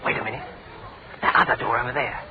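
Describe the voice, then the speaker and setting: Tinny, quick, cheeky British sidekick acted by a man. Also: medium pitch, steady tone, heavy background noise, close to an everyday voice. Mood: urgent. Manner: breezy, teasing, chatty. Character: cheeky, slangy young male detective's assistant. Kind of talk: old British radio drama acting. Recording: tinny phone-like radio recording, crowd behind.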